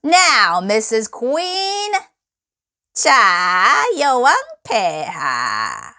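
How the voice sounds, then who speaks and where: A woman acts out a gleeful little fairy-tale man with a raised, swooping voice. He draws his words out slowly, boasting and taunting in singsong, as in a storybook read aloud. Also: fairly high pitch, huge pitch swings, sharp tone, heavily acted.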